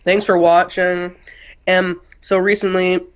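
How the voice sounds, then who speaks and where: A man's voice: sits high, level tone, takes it slowly, a bit bright, clear, resonant tone, poor audio. A young man co-hosting a casual cartoon review, blunt and dismissive, sniffly from a cold. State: getting over a cold, sniffly. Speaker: co-host reviewer.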